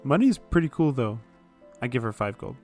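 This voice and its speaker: A man's voice: mid-range voice, big pitch swings, normal speed, a little mellow, faint music behind. A man chatting and bantering casually as a player in a tabletop roleplaying game.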